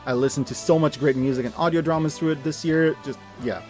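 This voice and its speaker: A man with a fairly high voice narrates an enthusiastic review over music. His delivery is scripted but informal, with effusive emphasis on what he recommends. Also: steady tone, fast talker, a little mellow. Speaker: video reviewer.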